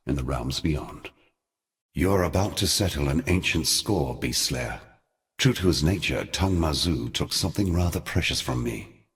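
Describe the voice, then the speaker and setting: An actor playing an ancient, brooding god and grave mentor in a video game, with solemn, eloquent, theatrical delivery. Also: male voice, rumbling low. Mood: sorrowful, then grim. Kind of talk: theatrical scripted game dialogue.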